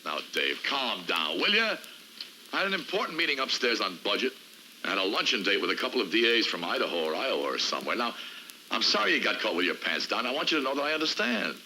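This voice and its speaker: A harried American chief prosecutor who talks fast in a lowish, very forceful voice. He is weary but appeasing, in screen crime drama style, with faint noise behind him. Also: sing-song, full character voice, rough recording. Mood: placating. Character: harried, placating male boss in prosecutor's office. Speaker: actor playing a senior prosecutor.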